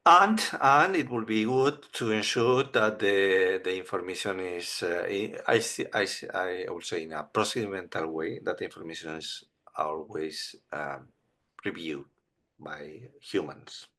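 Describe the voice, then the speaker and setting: A man who is not a native English speaker, giving an explanatory presentation as an expert in a halting but emphatic manner. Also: low-pitched, sharp tone. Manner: halting, emphatic, tag questions.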